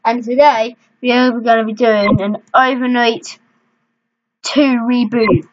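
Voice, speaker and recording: A woman's voice: on the high side, steady tone, takes it slowly, lo-fi sound. A young woman casually commenting on her game, exasperated and muttering to herself. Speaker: gamer. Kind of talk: casual let's-play commentary.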